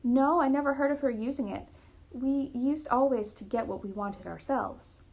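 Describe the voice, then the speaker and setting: Woman reading as an earnest, raised-pitch young lady. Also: normal speed, vocal fry, darkish tone. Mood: surprised, earnest. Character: polite, earnest young lady answering questions. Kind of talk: storybook reading.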